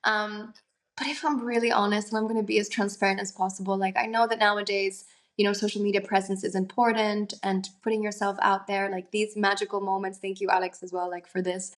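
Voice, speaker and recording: A woman's voice: mid-range voice, level tone, brisk. A young adult woman, an actress and singer, talking earnestly in a conversational interview, musing aloud with lots of fillers.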